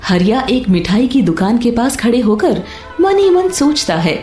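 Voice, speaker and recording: High, expressive woman storyteller. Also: normal speed, reverberant. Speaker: narrator. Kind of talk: scripted story narration. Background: soft background music.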